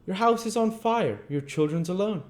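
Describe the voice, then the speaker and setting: A man's voice: high voice, expressive, normal speed, darkish tone, voice pushed up. A man voicing a young storybook boy in a sing-song chant. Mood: playful chanting.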